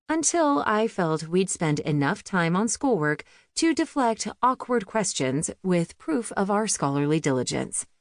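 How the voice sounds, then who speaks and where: A woman's voice: fairly low pitch, no echo, studio quality. A woman reading a memoir audiobook as a scripted narration.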